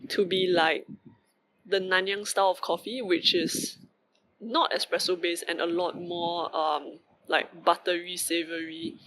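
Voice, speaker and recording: Bright-voiced Singaporean man musing aloud. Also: sits high, a little hiss or hum, poor audio. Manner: rambling, many fillers and asides. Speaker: vlogger. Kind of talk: casual reflective monologue.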